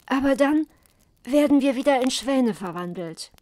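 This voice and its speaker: A woman's voice: high voice, lively intonation, normal speed, mellow, dry recording. A woman voicing grave enchanted swan brothers in a fairy tale, earnest and cautioning. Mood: grave, regretful. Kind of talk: fairy tale storybook reading.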